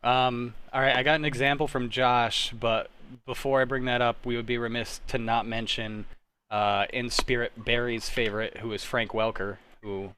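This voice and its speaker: A man's voice: mid-range voice, fairly even intonation, normal speed, pushed and bright, smooth. A man, chatting casually as a livestream host. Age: 30s. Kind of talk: casual fan chat.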